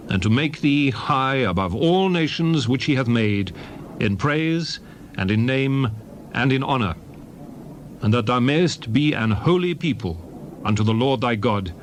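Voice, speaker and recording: A man narrating scripture as a dramatized reading, solemn, measured and declamatory. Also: mid-range voice, a little hiss or hum. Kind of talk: dramatized Bible reading in archaic English.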